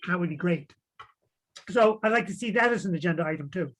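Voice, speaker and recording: Man commissioner, high voice, halting and careful in a meeting. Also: expressive, a bit bright. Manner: hesitant, many restarts. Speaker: commissioner and clerk. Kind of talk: meeting discussion.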